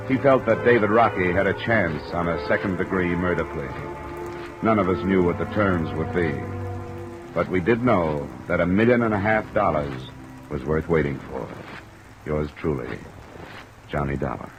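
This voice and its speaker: An old radio recording with rain and music behind it. A man acts a very low-voiced, steady insurance investigator, terse and matter-of-fact, in classic radio detective style. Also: normal speed, dull audio, natural voice. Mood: dry narration. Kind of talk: 1950s radio detective acting.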